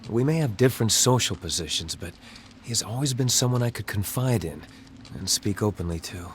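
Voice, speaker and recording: An actor playing a calm, sincere young prince in a game cutscene, speaking in a measured, formal, soft-spoken way. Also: male voice, lowish voice, a little hiss or hum, close to an everyday voice. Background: game soundtrack music.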